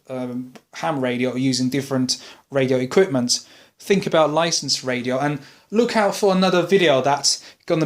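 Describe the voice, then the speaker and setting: A man presenting an informal product buying guide as a hobbyist, explaining and advising as he rephrases along the way. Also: mid-range voice. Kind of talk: informal product advice video.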